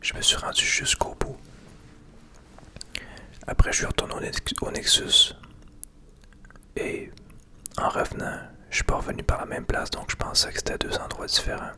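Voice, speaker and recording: A man with a Québécois French accent whispering casual, unhurried ASMR commentary as he plays a video game. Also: extremely high pitch, completely flat delivery. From Quebec. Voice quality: breathy, unvoiced whisper. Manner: unhurried, hushed, casual narration of play.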